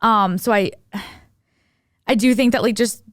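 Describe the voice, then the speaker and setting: A woman's voice: on the high side, a little slow, dry recording, clean studio sound. A young woman co-hosting a podcast, chatting casually and giving her opinions in slangy, conversational language peppered with "like". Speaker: podcast co-host.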